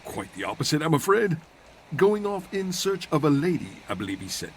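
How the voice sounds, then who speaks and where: A polite old manservant played by a male actor in a superhero audio drama. The voice sits a little high and moves up and down expressively, formal and deferential with dry humour, over faint rainy ambience. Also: sing-song, a little fast, a little hiss or hum, low-quality recording. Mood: polite, reserved. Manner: formal, polite, drily witty. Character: dry-witted, deferential elderly male butler.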